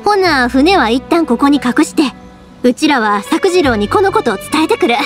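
A lively fireworks girl with a Kansai accent. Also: high voice, moderate pace. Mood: cheerful. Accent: Kansai dialect. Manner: lively, teasing, warm-hearted. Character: cheerful young woman fireworks maker. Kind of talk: video game voice acting. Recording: game audio with soft music.

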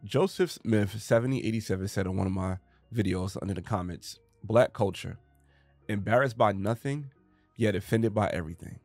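A man's voice: on the low side, normal speed, a little mellow, no room sound, faint music behind. Man with a cold talking into a studio mic. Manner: emphatic, rising into rhetorical questions. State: getting over a cold. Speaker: commentary channel host. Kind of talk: reaction commentary. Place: small home studio, indoors. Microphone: studio mic on boom arm, headphones.

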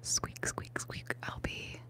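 A woman in her twenties speaks in a soft, breathy whisper. She takes her time, in the manner of an ASMR streamer chatting with viewers, often gently repeating short words and sounds. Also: takes it slowly. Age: 20s, mid-twenties.

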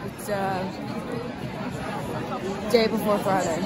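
A woman's voice: mid-range voice, steady tone, slow, a loud crowd, heavy background noise. A teenage girl chatting casually with a friend in a school cafeteria. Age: teens. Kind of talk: casual chat. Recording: loud crowded cafeteria din.

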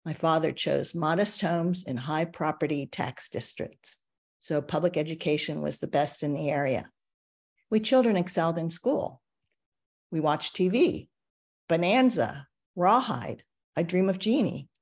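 An older American woman reads her personal essay aloud on a video call from a home study, measured and reflective, with emphatic turns. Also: deep voice, expressive, darkish tone. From United States. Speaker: essayist reading her work.

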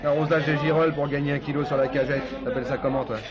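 An actor playing a bitter, cocky young ex-convict with a slangy, defiant manner, in a French radio drama. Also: male voice, a little high, little pitch movement, very fast, highs cut off, close to an everyday voice. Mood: sneering. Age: young adult. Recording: busy market crowd and traffic behind.

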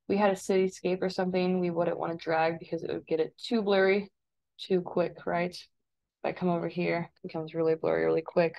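An American woman instructor talking informally through a software demonstration, step by step, as she does each action. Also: fairly low pitch, little pitch movement, fairly quick, vocal fry, highs cut off. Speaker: instructor giving a software tutorial. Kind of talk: casual step-by-step screen demonstration.

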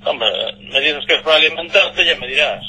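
A man's voice: fairly high pitch, fairly quick, bright, forceful tone, a little hiss or hum, poor audio. A man talking firmly and disapprovingly on a casual call over speakerphone. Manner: disapproving, firm. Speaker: pet owner receiving a call. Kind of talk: casual phone conversation. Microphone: phone call played over speakerphone. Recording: raspy telephone speaker sound.

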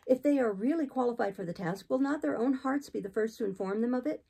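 A woman's voice: a little high, fast talker, gravelly, warm tone, breathy edge, pitched above the performer's own voice. A woman voicing an earnest, troubled young woman in an audiobook, hesitant and pleading as she resists. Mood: guarded. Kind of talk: audiobook character reading.

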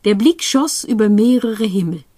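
A woman giving an expressive, dramatic recitation of poetry, with deliberate phrasing that moves between forceful exclamation and hushed drops. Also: medium pitch. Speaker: poetry reader.

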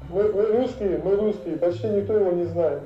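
A man's voice: high voice, a little fast, faint music behind. A man from Russia chatting casually and in a friendly way on a video chat. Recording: dull, distant, echoey stream.

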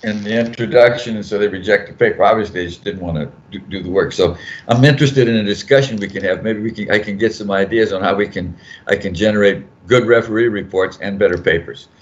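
Older male editor, deep voice, rambling and self-correcting, muffled video-call audio. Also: quick pace. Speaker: journal editor on a panel. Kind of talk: casual panel talk. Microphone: video call mic.

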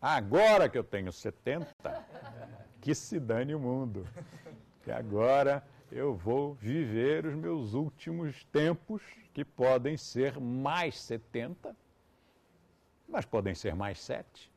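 A man's voice: medium pitch, lively intonation, slow pace, vocal fry, a little mellow. A man in his seventies with a Brazilian Portuguese accent, giving a live lecture to students in a conversational way with ironic asides. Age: 70s. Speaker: yoga teacher.

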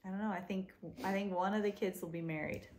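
A woman's voice: mid-range voice, fairly even intonation, quick pace, darkish tone, slight background noise. A woman in her forties talking in a family home video, hesitant and thinking aloud, picked up by a phone camera across a living-room couch. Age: 40s.

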